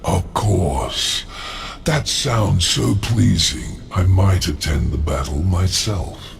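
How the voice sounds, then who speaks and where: An actor playing a proud, scheming demon lord in a video game, with a gravelly growl and a slow, formal, condescending way of talking. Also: male voice, deep voice, animated, faint music behind, full character voice. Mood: smugly amused. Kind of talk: video game cutscene acting.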